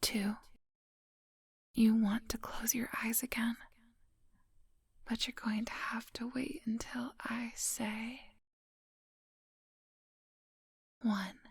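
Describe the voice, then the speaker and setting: A woman playing a coolly menacing, coaxing vampire hypnotist in a soft whispered ASMR roleplay, close to the microphone. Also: fairly high pitch, fairly even intonation, breathy edge, no room sound, exaggerated voice. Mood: hypnotic, soothing. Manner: slow, coaxing, hypnotic instructions. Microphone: close microphone, dry.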